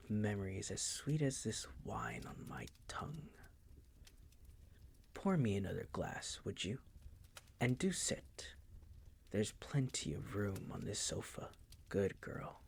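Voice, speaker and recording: A man playing a possessive, condescending vampire master in an intimate roleplay audio, teasing in a breathy, gravelly, often whispered voice. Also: on the low side, exaggerated voice. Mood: smug, nostalgic. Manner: slow, teasing, condescending purr.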